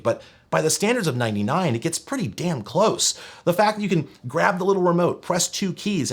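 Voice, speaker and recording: A man presenting a conversational scripted review, opinionated, wry and articulate. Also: mid-range voice. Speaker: video host and gadget reviewer.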